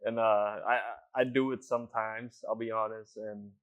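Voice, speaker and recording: A man's voice: fairly low pitch, level tone, normal speed, muffled recording. A young man, an actor, chatting in a laid-back way with chuckles and loose fillers. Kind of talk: casual interview chat.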